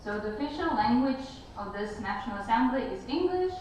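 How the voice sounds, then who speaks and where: A woman's voice: fairly high pitch, animated, brisk, a little mellow. Woman presenting in a very echoey hall. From Germany. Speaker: main chair of a student assembly. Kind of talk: informal presentation to an assembly. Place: large echoing room, heard from far off. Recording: very echoey hall, distant, clear noise.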